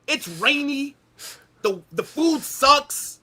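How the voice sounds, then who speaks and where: A man's voice: very high-pitched, animated, slow pace, bright, forceful tone. A man in casual, opinionated football chat, hosting with slangy banter and emphatic rhetorical questions. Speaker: football show host and streamer.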